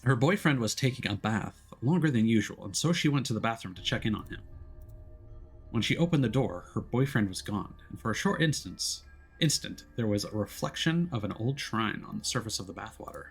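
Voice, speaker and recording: Read as a male streamer narrating a story game aloud, expressive and theatrical, slipping into casual asides between passages. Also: fairly low pitch, brisk, vocal fry, breathy edge, faint music behind. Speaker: streamer playing a visual novel.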